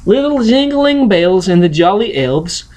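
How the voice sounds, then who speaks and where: Russian-accented man reading slowly, with swooping intonation. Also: high-pitched, very animated, darkish tone. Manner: non-native grammar, halting, playful delivery. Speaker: host reading a picture book aloud. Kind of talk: storybook reading and casual chat to camera.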